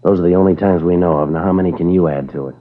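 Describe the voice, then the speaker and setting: An actor playing a stern, dogged middle-aged police sergeant, clipped and deadpan, in a 1950s radio crime drama. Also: male voice, deep voice, racing. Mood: blunt, pressing. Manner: clipped, deadpan, matter-of-fact. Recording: muffled old 1950s radio recording.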